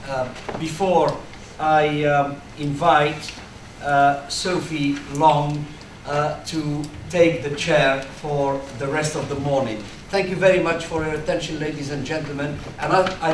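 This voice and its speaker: A man gives formal remarks to a conference audience, full of hesitation and filler sounds. His voice sits somewhat high. He is picked up from a distance in an echoey, noisy hall. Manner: halting, with many filler sounds. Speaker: conference host.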